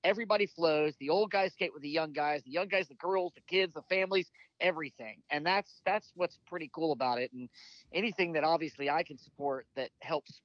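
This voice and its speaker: Guy, 50s, higher-pitched, rambling enthusiast; dry, dull-sounding audio. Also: a little fast, no room sound. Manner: rambling, enthusiastic, trailing restarts. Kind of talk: casual podcast conversation.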